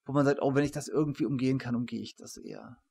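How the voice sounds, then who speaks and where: A man's voice: medium pitch, fairly even intonation, rapid-fire, a little mellow, slightly rough. A man in his forties hosting an interview in a conversational style at a desk microphone. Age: 40s. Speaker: host, professor. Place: indoor room, on a video call.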